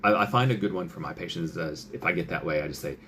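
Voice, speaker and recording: A man's voice: low voice, fast talker, a bit bright, slight background noise. A male doctor giving casual advice, chatty, with many fillers, who often acts out what patients and doctors might say.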